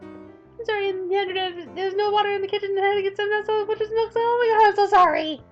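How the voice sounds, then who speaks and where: A woman voicing a squealy, excitable young girl. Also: quick pace, highs cut off, soft background music. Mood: frantic. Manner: high, loud, gushing, with giggles. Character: bubbly, excitable young girl, easily flustered.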